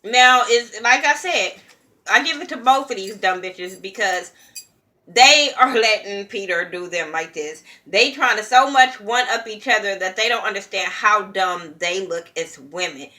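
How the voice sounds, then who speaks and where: A woman's voice: mid-range voice, lively intonation, unhurried, sharp tone. A young woman from California ranting bluntly and profanely while reviewing a reality show in a casual vlog. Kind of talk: casual opinion commentary.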